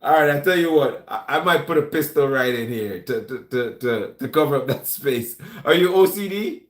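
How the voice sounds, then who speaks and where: A man with a Jamaican accent chats loosely with his viewers, often starting over and calling them "man." His voice sits at a middle pitch. The sound has a roomy echo. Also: normal speed. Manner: relaxed, stumbling restarts, calls people man. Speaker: vlog host reading live chat. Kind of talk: casual live-stream chat. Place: room at home with gun rack.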